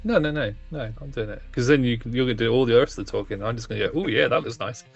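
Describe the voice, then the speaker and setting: A man's voice: medium pitch, very fast, creaky, highs cut off, soft background music. A man co-hosting a casual chat, laid-back and prone to laughing and swearing. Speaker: co-host.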